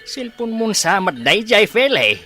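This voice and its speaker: A man playing a gentle middle-aged village father and husband in an Ilocano radio comedy drama, with a Filipino accent. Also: high-pitched, very animated, measured pace, soft background music, exaggerated voice. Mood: mild, bantering.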